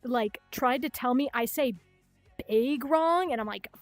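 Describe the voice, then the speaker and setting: A young woman with a Chicago-style Midwestern accent, raised in Minnesota and South Dakota, chatting quickly and swearing freely as a livestream host late at night from her bedroom. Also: high voice, no room sound, soft background music. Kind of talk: casual late-night stream chat. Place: hot bedroom at home.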